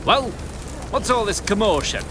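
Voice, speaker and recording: An actor playing a pompous, genial town mayor in an audio drama, folksy and placating. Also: male voice, high voice, very animated, a little slow, background noise. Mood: puzzled authority. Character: genial, smooth-talking pompous male town mayor and landlord.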